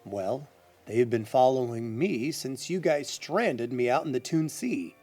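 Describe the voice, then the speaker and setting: An actor playing a brisk, guarded, confident adventurer and heir in an audio adventure drama, with theatrical, expository delivery. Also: male voice, mid-range voice, expressive, darkish tone, soft background music. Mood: earnest, explanatory.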